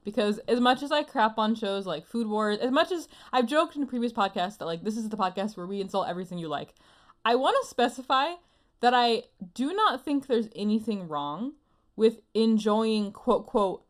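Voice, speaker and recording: Higher-voiced woman in casual podcast chat. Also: expressive, moderate pace. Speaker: podcast co-host. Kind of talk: casual comedic podcast chat about anime.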